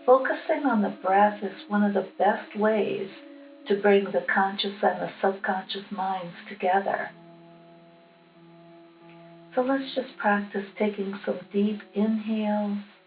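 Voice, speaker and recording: Slow-paced woman leading guided meditation. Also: mid-range voice. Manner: calm, unhurried, instructional. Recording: roomy echo with music behind.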